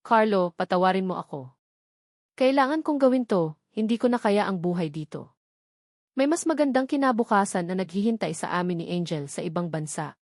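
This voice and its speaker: A woman's voice: mid-range voice, expressive, brisk, no echo, studio quality, natural voice. A Filipino woman voicing an apologetic but resolute wife in an audio romance drama, pleading yet firm. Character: apologetic, resolute wife leaving her husband by letter.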